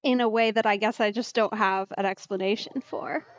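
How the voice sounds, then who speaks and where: A woman's voice: medium pitch, fairly even intonation, moderate pace, no echo, lo-fi sound. A woman doing casual, chatty let's-play commentary as a streamer, musing aloud informally.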